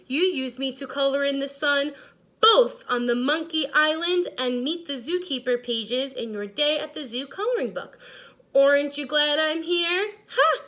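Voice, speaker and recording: Smug orange crayon, played by a woman, high voice, snippy and scornful, storybook read-aloud. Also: a bit bright.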